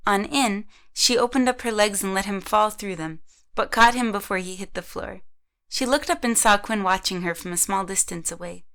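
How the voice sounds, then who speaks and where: A woman's voice: mid-range voice, normal speed, a bit bright. A woman narrates a scripted audio drama. Her voice sits in the middle range, and she tells the story as a third-person narrator.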